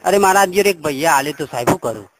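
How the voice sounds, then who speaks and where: A man's voice: fairly high pitch, animated, fast talker. A man with a rural Gujarati accent making a prank phone call as a customer, talking in a rustic, rambling, naively pushy way. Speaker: customer calling a helpline. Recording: noisy phone-call recording with clicks.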